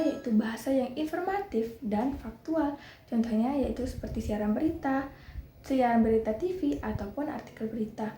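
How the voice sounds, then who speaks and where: A young woman presenting a class project, reading through lists of points with small slips and restarts. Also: on the high side. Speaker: student presenter. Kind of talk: class presentation explaining a topic. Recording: echoey room with clear background noise.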